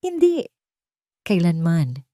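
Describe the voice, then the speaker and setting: A woman's voice: on the low side, huge pitch swings, slow, mellow, no echo, full character voice. A woman narrator plays a wounded young mother in a romantic audio drama. She sounds weary and bitter, holding others off with pained defiance. The recording is dry and close. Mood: defiant. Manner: pained, guarded.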